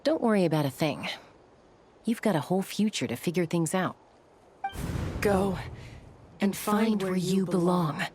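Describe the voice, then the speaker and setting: A woman's voice: fairly low pitch, a little slow, long pauses, crowd noise, close to an everyday voice. A woman playing a friendly, encouraging young woman in a video game, informal and upbeat. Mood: reassuring.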